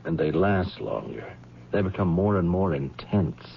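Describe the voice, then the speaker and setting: Radio play, a probing investigator: extremely low voice, solemn and persuasive, on a dull, humming old recording. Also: male voice, animated, unhurried, creaky, a little hiss or hum, full character voice. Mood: grave persuasion. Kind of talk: 1970s radio drama acting.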